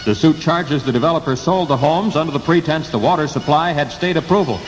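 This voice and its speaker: A man's voice: on the high side, fairly quick. A man with an American accent reading a scripted 1960s radio news bulletin in clipped headlines. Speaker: radio newsreader. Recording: 1960s radio aircheck, hissy, long reverb.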